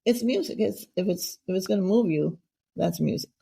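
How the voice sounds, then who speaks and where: A woman's voice: mid-range voice, normal speed, vocal fry, dark tone, husky. A middle-aged woman hosting a casual interview, chatty and effusive, rambling from one thought to the next. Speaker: podcast host.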